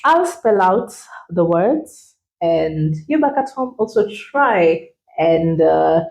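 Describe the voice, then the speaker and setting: A woman's voice: on the low side, sing-song, vocal fry, a little mellow. A young woman with a Kenyan accent hosting a playful chat, teasing her guest and spelling words out letter by letter. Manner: teasing, spells words slowly, laughs often. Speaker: host. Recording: roomy echo.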